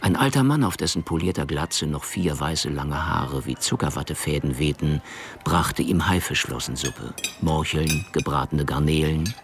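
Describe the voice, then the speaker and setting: Very deep, gravelly male narrator. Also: animated, moderate pace, a little hiss or hum. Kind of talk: scripted narration of a crime radio drama.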